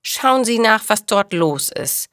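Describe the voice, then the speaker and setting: A woman's voice: medium pitch, very animated, slow pace, a bit bright, dry recording, studio quality, pitched above the performer's own voice. A woman voicing a fussy older female neighbour in a story, indignant, scolding and self-righteous. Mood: urgent complaining.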